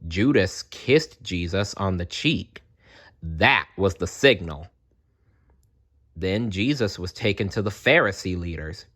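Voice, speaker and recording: Slow, expressive male storyteller. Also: low-pitched. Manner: expressive, dramatic. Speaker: storyteller reading aloud. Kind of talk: scripted children's story narration.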